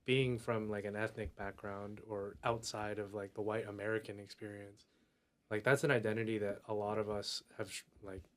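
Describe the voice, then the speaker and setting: A man's voice: fairly low pitch, little pitch movement, normal speed. A man co-hosting a cycling podcast, easygoing and slangy in casual chat. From grew up in a Filipino family in the US. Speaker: podcast co-host.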